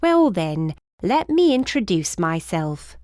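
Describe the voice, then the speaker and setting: A woman's voice: on the low side, big pitch swings, takes it slowly, darkish tone, dry recording, clean studio sound. A woman voicing a short, plump, cheerful older housekeeper with a British accent and a rambling, effusive, fussy manner in an audiobook. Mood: chatty, eager.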